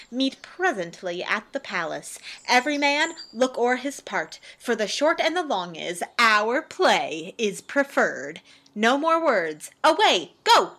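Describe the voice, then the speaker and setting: A woman's voice: on the high side, sharp tone, heavily acted. A woman playing a boastful, exuberant working man and amateur actor, declaiming theatrically in a Shakespearean radio play. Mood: excited, bombastic.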